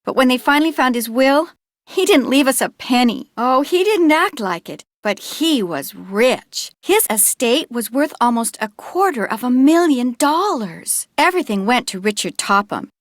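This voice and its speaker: A woman's voice: high-pitched, sing-song, moderate pace, dry recording. A young woman actor playing an anxious, earnest innkeeper in a video game, with a fretful, confiding manner. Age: young adult.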